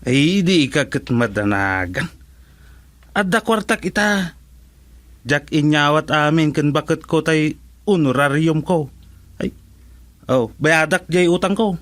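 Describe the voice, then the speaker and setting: A man's voice: on the high side, lively intonation, slow, long pauses, a bit bright, dry recording. A man with a Filipino accent acting as an easygoing, talkative village council candidate in a comedy radio drama, full of exclamations. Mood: casual, reassuring.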